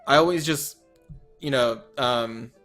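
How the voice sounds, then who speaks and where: A slightly hoarse man talks through a strategy game at his desk, musing aloud, trailing off and correcting himself as he goes. His voice sits in a middle pitch, and a faint thread of music plays underneath. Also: slow, fairly bright tone. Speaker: let's player. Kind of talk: casual strategy game commentary.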